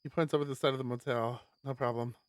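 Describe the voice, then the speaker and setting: A man streaming a live read-aloud of a visual novel, expressive, with stumbles and casual asides. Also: mid-range voice, quick pace, no room sound. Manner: expressive, stumbles over words while reading.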